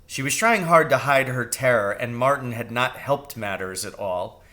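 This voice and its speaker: A man reading a children's novel aloud as expressive narration, with measured and deliberate phrasing. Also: medium pitch. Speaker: storybook reader.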